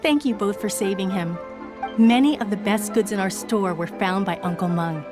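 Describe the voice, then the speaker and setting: A woman's voice: mid-range voice, moderate pace, music in the background, natural voice. A woman playing a polite, helpful shop owner in a video game, speaking in a composed manner. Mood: gracious.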